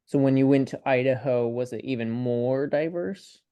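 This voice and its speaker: A young man from Ukraine chatting casually on a video call in a student discussion, thinking aloud in a tentative, halting, rambling way. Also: mid-range voice, smooth, no echo, highs cut off.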